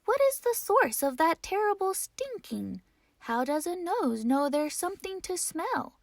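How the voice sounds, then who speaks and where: Storybook figure: a woman doing an eager little girl full of questions, pitched up, slow and dry. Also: big pitch swings, gravelly, no echo, heavily acted. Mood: curious. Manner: wondering, questioning, some whispered lines.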